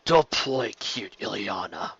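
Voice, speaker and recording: A man voicing a gruff, curt, intimidating dragon with a gravelly voice and a sneering way of talking in a story reading. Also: fairly low pitch, lively intonation, slow, bright, forceful tone, dry recording, muffled recording, exaggerated voice. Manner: sneering, terse.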